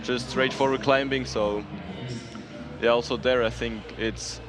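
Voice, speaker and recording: Man, event commentator, casual live delivery, even intonation, non-native English phrasing, music in the background. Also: medium pitch, a little slow. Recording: music playing behind the voice.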